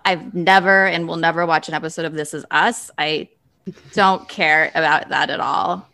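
A woman's voice: low-pitched, fairly even intonation, moderate pace, vocal fry, sharp tone. A woman hosting a casual podcast chat, talking in a giggly, self-deprecating way. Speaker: podcast host, readers and writers librarian. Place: remote video call recording.

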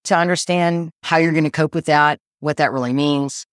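A woman's voice: low voice, fairly even intonation, normal speed, no echo, clean studio sound. A woman in her forties, a real estate agent, explaining the buying process in a casual interview and laughing easily.

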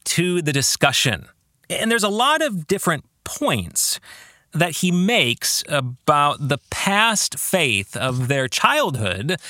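A man with a bright, forward voice that moves up and down expressively as he leads a reflective book discussion. He speaks slowly and deliberately, breaking his phrases with pauses, in an earnest podcast-host manner. The recording is close and dry. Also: fairly high pitch, dry recording. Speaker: podcast host and author discussing own novel.

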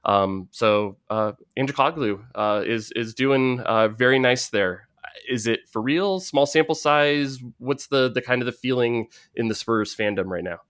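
A man from the Bay Area with an American accent, hosting a casual sports podcast in a halting, rambling, filler-heavy way. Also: mid-range voice, a bit bright, clean tone, dry recording, studio quality. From San Francisco Bay Area, United States. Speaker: podcast host.

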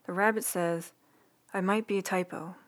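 A woman reads a personal essay aloud in an ASMR read-along style, soft, unhurried and intimate, with audible breath and lip sounds. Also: on the low side, fairly even intonation. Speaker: writer reading own newsletter aloud. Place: quiet room indoors. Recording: quiet room hiss, close breath sounds.

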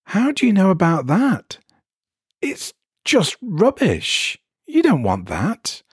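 A man's voice: high voice, huge pitch swings, takes it slowly, slightly rough, no room sound, clean studio sound, pitched above the performer's own voice, exaggerated voice. A man voicing an anxious, plaintive man with colloquial speech in a story reading. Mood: baffled, agitated. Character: anxious, complaining man troubled by a rogue arm.

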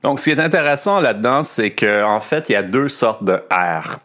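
A man's voice: medium pitch, lively intonation, normal speed, a bit bright. A man with a Québécois French accent hosting a podcast lesson, explaining things carefully with frequent hesitations. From Quebec. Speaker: podcast host and French teacher.

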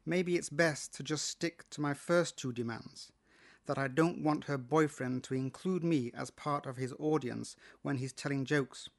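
A man's voice: fairly high pitch, measured pace, vocal fry. A British man reading a first-person short story as its narrator. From Britain.

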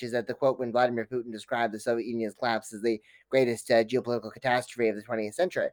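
A man's voice: mid-range voice, no echo. A man speaking as an academic guest in an interview, giving fluent, detailed explanations.

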